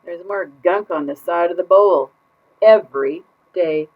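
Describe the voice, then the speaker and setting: A woman's voice: lowish voice, measured pace. A woman reading a children's picture book aloud as a put-upon goldfish keeping a diary, with exaggerated, exasperated emphasis. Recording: dull lo-fi sound.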